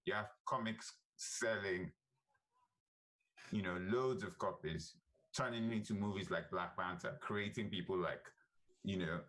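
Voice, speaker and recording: Low-voiced man. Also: a bit bright, rough recording. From Nigeria. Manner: emphatic, often ending points with "right?". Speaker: panelist, comic company head. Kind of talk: virtual panel discussion.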